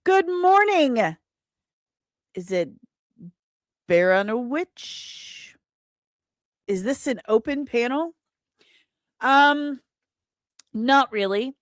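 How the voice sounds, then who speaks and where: A woman chats casually to her livestream viewers in a somewhat high voice. She takes her time, speaking slowly with playful emphasis. The sound is dry, with very little room in it. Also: lively intonation, dry recording. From Britain. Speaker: livestream host and comic book writer.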